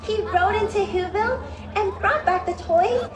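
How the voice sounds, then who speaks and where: A woman's voice: very high-pitched, moderate pace. A woman reading a rhyming children's story aloud in a theatrical, girlish, dramatic manner at a live show. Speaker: costumed character storyteller. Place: theme park live performance. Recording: echoey live show, loud music behind.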